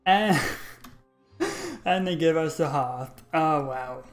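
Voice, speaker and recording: A man's voice: fairly high pitch, huge pitch swings, takes it slowly, soft background music. A man streaming a game and chatting casually over it in a loose, rambling way.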